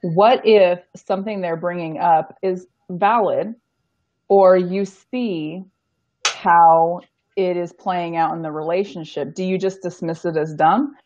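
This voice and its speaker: A woman hosting a casual chat on a streamed show, frank and animated, over a video call. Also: on the low side, slow. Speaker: show host. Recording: dull lo-fi stream audio.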